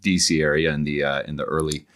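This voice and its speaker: A middle-aged man with a very deep voice talks as a podcast guest. He explains things conversationally like an academic, often pausing and repeating words while he works out the thought. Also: little pitch movement, fairly bright tone. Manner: halting, repeats words as he thinks aloud.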